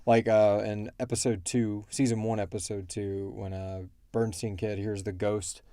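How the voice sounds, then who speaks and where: A man co-hosting a casual question-and-answer chat, thinking aloud and explaining things in a halting way. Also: lowish voice, level tone, mellow, no echo, clean studio sound. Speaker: podcast co-host and show creator answering fan questions.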